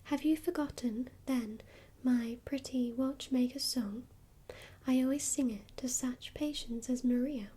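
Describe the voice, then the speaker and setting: A woman whispering the part of an odd old male godfather who chants in a grating, monotonous way, in a storybook reading. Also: high voice, measured pace, slightly rough. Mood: soothing.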